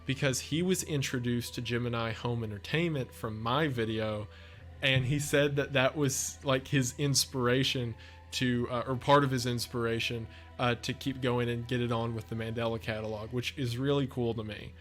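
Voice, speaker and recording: A man's voice: medium pitch, fairly even intonation, normal speed, fairly bright tone, soft background music. A man giving casual off-the-cuff video commentary, chatty, effusive and enthusiastic as a video creator. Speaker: video essay creator and narrator.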